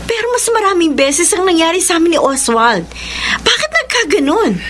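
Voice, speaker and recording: A woman's voice: extremely high pitch, very animated, moderate pace, fairly bright tone, a little hiss or hum, heavily acted. A woman playing an anxious, defensive young mother in a Filipino radio soap, dramatic and prone to stammering, with a Filipino accent. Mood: worried, pleading. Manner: dramatic, stammering when startled.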